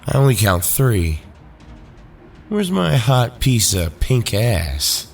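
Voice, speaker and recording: An actor playing a cocky, leering young armored villain in an audio drama, smug and taunting. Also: male voice, mid-range voice, sing-song, takes it slowly, vocal fry, soft background music, heavily acted.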